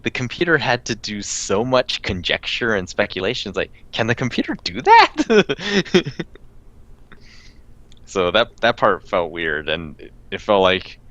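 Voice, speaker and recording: A man's voice: fairly low pitch, big pitch swings, measured pace, a bit bright, dry recording, lo-fi sound. A man co-hosting a podcast, chatting casually about video games, rambling with frequent laughs. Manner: rambling, laughs often, many restarts. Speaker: podcast co-host. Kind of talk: casual gaming chat.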